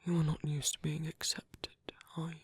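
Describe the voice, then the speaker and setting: A British man playing a caring, fatherly vampire priest in an ASMR roleplay, speaking softly and slowly into a close microphone with formal, gentle courtesy. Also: on the high side, completely flat delivery, no room sound. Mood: reassuring, gently curious. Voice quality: soft, breathy, often whispering. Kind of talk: soft ASMR roleplay, intimate and slow. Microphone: close microphone, dry.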